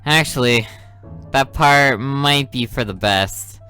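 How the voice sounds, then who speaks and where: A man's voice: mid-range voice, takes it slowly, sharp tone, clean tone, faint music behind. A man reading the thoughts and lines of a bored teenage girl who sneaks out at night. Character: restless, sneaky teenage girl, detective's daughter.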